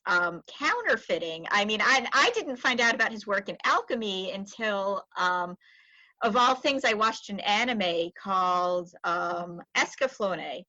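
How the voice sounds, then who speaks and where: A woman's voice: mid-range voice, animated, unhurried, sharp tone, dry recording, lo-fi sound. A woman hosting a podcast in a chatty, rambling, casual interview style. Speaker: podcast host.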